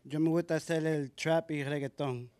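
A young man born in the United States, a guest musician giving short, quiet answers on a handheld mic. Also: fairly high pitch, steady tone, normal speed. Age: young adult. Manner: brief, quiet replies. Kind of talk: interview answers.

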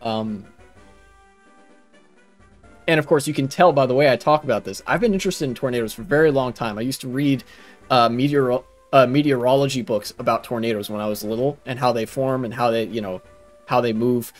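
A man's voice: medium pitch, fairly quick. Young man streaming over music. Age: young adult. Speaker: game streamer. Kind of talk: casual rambling explainer while gaming. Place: small room at home, indoors. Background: game music.

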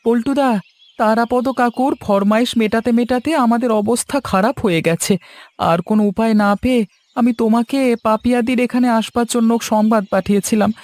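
High-voiced, fed-up village boy played by a woman. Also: fairly even intonation, brisk, darkish tone, no room sound, natural voice. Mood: weary complaint. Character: village boy, weary grandson fed up with guest. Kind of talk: Bengali cartoon story voice acting. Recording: music behind the voice.

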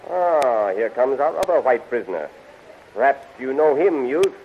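A man's voice: medium pitch, very animated, normal speed. A man playing a stern, commanding alien prince in theatrical 1930s radio serial style. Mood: imperious. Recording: muffled, hissy 1930s radio recording.

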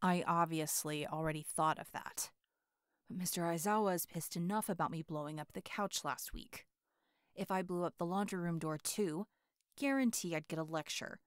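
A woman's voice: fairly low pitch, no room sound, clean studio sound, lightly acted. A woman voicing a brash, hot-tempered teenage boy hero in an audio roleplay; he swears constantly, boasts, and snaps at everyone.

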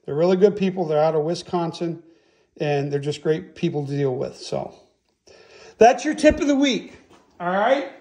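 High-voiced, folksy man giving a tutorial. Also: lively intonation, normal speed, warm tone. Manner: folksy, emphatic, repeatedly checks with "okay?" and "all right?". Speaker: host, chainsaw sharpening instructor. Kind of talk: casual hands-on tutorial to camera. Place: indoor workshop.

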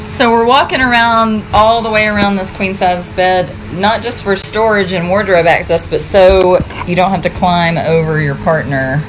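A woman's voice: medium pitch, normal speed, hardly any pauses, noisy background. A woman filming and chattily explaining features as she walks through a tiny home. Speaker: tiny home builder co-hosting tour, filming. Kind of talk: casual walkthrough tour. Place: inside a small empty tiny house.

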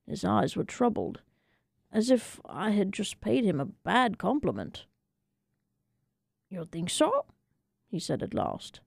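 A woman reading a story dramatically for radio, with a theatrical, deliberate delivery. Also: medium pitch, creaky, a little mellow, no room sound, studio quality. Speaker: storyteller, audiobook reader. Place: radio studio.

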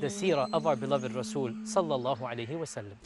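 A man's voice: medium pitch, quick pace, noisy background. A man speaking as host in a show promo, earnestly inviting viewers to watch. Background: music.

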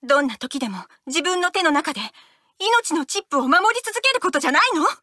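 A woman's voice: sits high, sing-song, fast, sharp tone, no room sound, clean studio sound, full character voice. A woman voicing a strong-willed, caring teenage girl for a video game, earnest and emphatic. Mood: urgent. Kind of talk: video game character voice clips. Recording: game music behind.

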